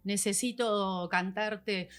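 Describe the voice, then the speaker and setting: A woman's voice: medium pitch, fairly even intonation, slow pace, faint music behind. A woman, a voice specialist guest explaining patiently in a podcast chat, like a teacher. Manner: patient, teacherly, acts out examples.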